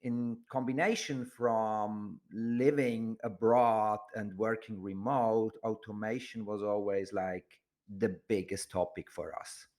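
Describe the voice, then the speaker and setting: A man's voice: on the low side, steady tone, takes it slowly, darkish tone. A man from Switzerland, chatting casually as an interview guest. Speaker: podcast guest, agency entrepreneur.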